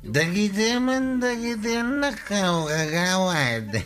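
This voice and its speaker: An actor with an Ilocano accent playing an earnest, lovestruck village bachelor in a comedy radio drama. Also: male voice, high-pitched, very animated, slow, fairly bright tone, slight background noise, heavily acted. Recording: music bed behind the voice.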